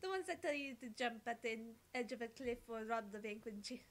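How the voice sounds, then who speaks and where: A very high-pitched Frenchwoman, acted by a woman, once a void shaman in a visual novel game. She speaks of grim, dark things in a matter-of-fact, mischievous way. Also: lively intonation, brisk. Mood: matter-of-fact, morbid. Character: morbidly curious French woman, former void shaman, now curator.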